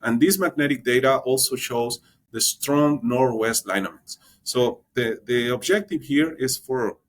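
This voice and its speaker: A man explaining exploration plans in an interview, halting and often repeating words as he searches for them. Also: medium pitch. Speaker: exploration geologist guest.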